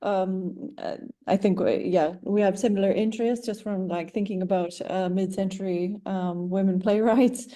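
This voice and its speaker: Female scholar with a soft-toned, mellow voice, posing a question haltingly and informally. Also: medium pitch, fairly even intonation. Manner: tentative, halting. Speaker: academic contributor asking a question.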